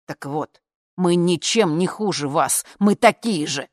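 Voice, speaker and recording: A woman's voice: fairly low pitch, lively intonation, unhurried, no room sound, studio quality. A woman voicing a brash, earnest male bar musician who talks in slangy, insistent bravado. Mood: earnest, aggrieved.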